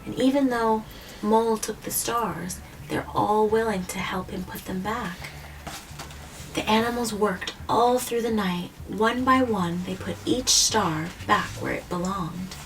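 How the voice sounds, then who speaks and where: Woman explaining gently, slightly distant mic. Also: medium pitch, unhurried. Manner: gently didactic, often checking with questions. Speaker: host of a children's story read-aloud show. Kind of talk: picture-book reading and simple explanations to a child. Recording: distant mic, faint background noise.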